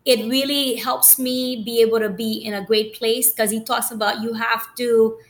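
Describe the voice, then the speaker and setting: A woman's voice: fairly high pitch, steady tone, moderate pace. A woman from Haiti talking casually about her personal journey, rambling with many fillers and restarts.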